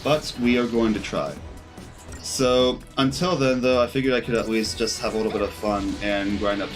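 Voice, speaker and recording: A man's voice: lowish voice, normal speed. A man giving casual live gameplay commentary as a streamer, rambling and thinking aloud as he goes. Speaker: video game streamer. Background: game music.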